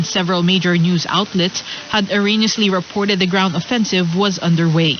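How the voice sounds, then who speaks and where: A woman reading scripted radio news in a formal, measured manner, heard over an AM broadcast. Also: fairly low pitch, a bit bright. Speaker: radio newsreader. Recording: hissy, muffled AM radio reception. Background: radio hiss.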